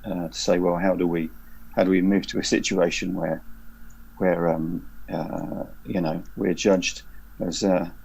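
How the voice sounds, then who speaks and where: Gravelly, husky man in his fifties. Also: low-pitched, level tone, a little slow, a little mellow, poor audio. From southeast England. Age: 50s. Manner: hesitant, many fillers and restarts. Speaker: panelist, church member. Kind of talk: video call panel discussion. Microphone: video call.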